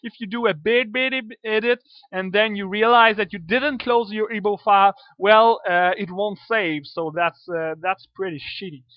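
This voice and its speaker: A man's voice: high-pitched, fairly even intonation, moderate pace, dry recording. A man with a French accent, a native French speaker, giving a casual screen-recorded modding tutorial, hesitant with many fillers and casual swearing. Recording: dull dry lo-fi computer mic.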